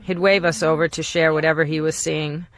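Quiet, measured woman narrator. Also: low-pitched, steady tone. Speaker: journalist narrating a documentary about her father. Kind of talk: scripted documentary narration.

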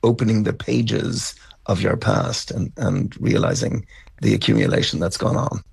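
Gravelly, husky middle-aged Irish man. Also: lowish voice, normal speed, a little mellow, dry recording. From County Cavan, Ireland. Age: middle-aged or older. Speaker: interview guest, band musician. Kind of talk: conversational storytelling interview.

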